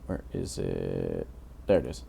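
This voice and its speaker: A tired man in his twenties with a naturally deep voice, rambling through casual commentary while he builds in a game, full of fillers and restarts. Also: sing-song, slow, gravelly, mellow, a little hiss or hum. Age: 20s. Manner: rambling, hesitant, many fillers. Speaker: Minecraft YouTuber.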